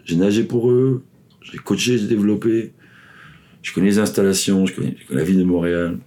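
Deep-voiced man rambling in casual chat. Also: steady tone, brisk, warm tone. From Ivory Coast, raised in Paris. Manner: rambling, many fillers and restarts. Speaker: podcast guest, swim coach.

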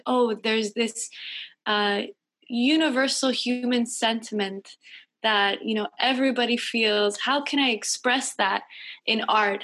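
A woman's voice: a little high, fairly bright tone. A woman in her thirties, a musician, answering interview questions thoughtfully over a video call. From United States. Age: 30s. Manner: thoughtful, slow, searching. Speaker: guest musician. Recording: lo-fi call audio.

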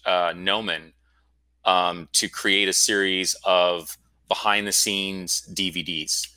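A man's voice: low voice, pushed and bright, no room sound. A man in his forties from Florida, a guest telling his story in a casual, rambling interview. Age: 40s. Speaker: guest, school founder.

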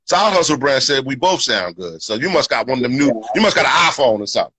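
A man's voice: medium pitch, big pitch swings, fast talker, crowd noise. A man hosting a casual live podcast, talking in an upbeat, loose way with lots of fillers and "you know what I'm saying". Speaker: podcast host. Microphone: podcast microphone.

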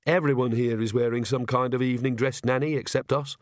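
A man voicing a sensible, anxious young woman in an audiobook, with a flat, questioning and hesitant manner. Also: medium pitch, fairly quick, dry recording, clean studio sound, lightly acted. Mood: flat, sceptical. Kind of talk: audiobook character voice.

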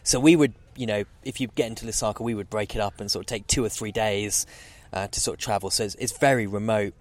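A man in his forties with a lowish voice, speaking as a podcast guest. He rambles through his answers, restarting sentences and filling pauses with "um" and "sort of". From Zambia. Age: 40s. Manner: rambling, many fillers and restarts. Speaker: guest oceanographer. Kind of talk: casual interview.

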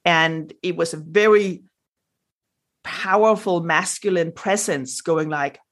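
A woman's voice: on the low side, slow. A Danish woman, a native speaker of Danish with a Danish accent, speaking animatedly as a spiritual guest on a podcast, with sound effects and many restarts. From Denmark. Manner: frequent restarts and fillers. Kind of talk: podcast interview.

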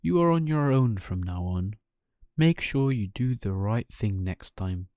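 A man voicing a gentle but stern female fairy, measured and admonishing, in a children's storybook. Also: lowish voice, animated, no room sound, clean studio sound. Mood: guiding.

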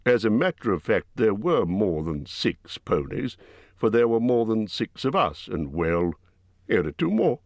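Man playing an old wizard, low and calm, slyly tactful, dry close sound. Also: unhurried, vocal fry, dry recording, exaggerated voice.

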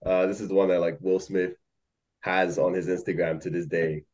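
A man's voice: deep voice, little pitch movement, normal speed, darkish tone, highs cut off. A young man who grew up in Malaysia, chatting casually as a podcast guest, rambling with many fillers and laughs. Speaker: podcast guest, algo trader. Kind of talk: casual chat.

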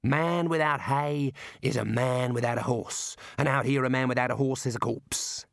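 Male narrator doing a wild, matey outback man; gruff, low, unhurried. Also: fairly even intonation, dry recording, clean studio sound, close to an everyday voice. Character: rough Australian outback man, cheerful and wild.

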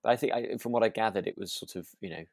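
A man with a low, creaky voice, recorded close and dry. He co-hosts a podcast in a relaxed conversational style, feeling his way tentatively through his points. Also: racing, dry recording. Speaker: podcast co-host. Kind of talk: relaxed chat discussing a radio drama.

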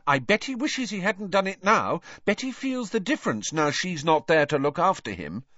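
A man's voice: high voice, big pitch swings, takes it slowly, fairly bright tone, breathy edge, voice pushed up, full character voice. A man voicing an indignant, insistent teenage girl in an audiobook story. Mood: cold, reproachful. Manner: insistent, heated. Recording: dull lo-fi audio, roomy echo.

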